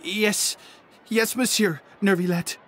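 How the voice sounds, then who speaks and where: A woman's voice: mid-range voice, steady tone, slow, a little hiss or hum, natural voice. A woman voicing a nervous, cowed underling in a video game, hesitant, stammering and deferential. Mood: nervous, guilty.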